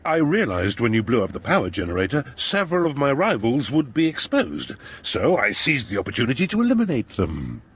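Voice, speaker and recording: An actor playing a fawning, cowardly noble schemer in a video game, with a husky voice and theatrical, ingratiating delivery. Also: male voice, medium pitch, huge pitch swings, fairly quick, few pauses. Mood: smug, ingratiating. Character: oily, cowardly male noble schemer, fawning and self-serving. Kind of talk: cinematic game voice acting.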